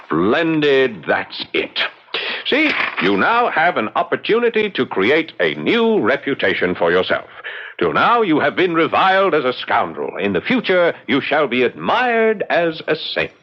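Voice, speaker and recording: An actor playing a shrewd, wry gentleman sleuth in a radio drama, courteous and measured, with teasing hesitations. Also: mid-range voice, huge pitch swings. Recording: dull, lo-fi radio drama recording.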